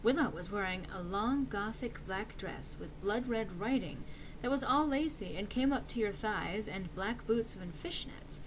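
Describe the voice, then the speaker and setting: A woman's voice: mid-range voice, animated, unhurried, a little hiss or hum, low-quality recording. A woman reading fan fiction aloud as a dramatic, mock-serious narration with theatrical emphasis.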